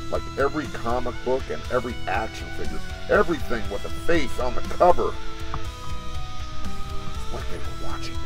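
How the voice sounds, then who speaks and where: A man performs an uneasy former Marine telling his own creepy tale for an audio drama. His voice sits on the low side and he draws out the suspense with dramatic delivery. Music plays behind him. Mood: eerie, tense. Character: adult male comic shop worker, ex-Marine, spooked storyteller. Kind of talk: scripted first-person horror narration.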